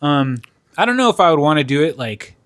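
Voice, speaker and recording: A man's voice: on the high side, very animated, brisk, fairly bright tone, no echo. A man co-hosting a casual podcast chat, rambling loosely and swearing as he talks into a studio mic. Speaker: podcast co-host.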